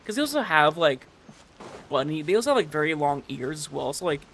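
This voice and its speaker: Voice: mid-range voice, big pitch swings, fairly quick, fairly bright tone, slight background noise. A streamer giving casual, rambling and emphatic commentary while gaming. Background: game music.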